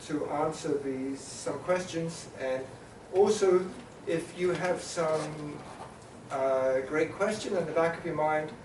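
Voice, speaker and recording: A man with a slightly high voice moderates a panel discussion, pausing often and filling gaps with hesitant sounds. He is from New Zealand. His voice carries through a reverberant conference hall, picked up from some way off the microphone, with a steady background hiss. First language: Maori. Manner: halting, many filler sounds and restarts, summarizing. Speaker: panel facilitator. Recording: echoey hall, mic at a distance.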